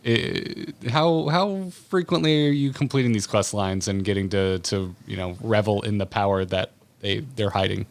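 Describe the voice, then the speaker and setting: A man interviewing as a podcast host, conversational, with measured and roundabout questions. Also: lowish voice.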